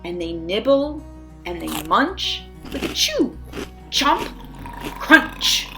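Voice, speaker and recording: A woman reading a children's picture book aloud in an expressive, theatrical way, acting out the sound words. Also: mid-range voice, extremely slow. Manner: dramatic, acts out sound words. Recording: music behind the voice. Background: background music.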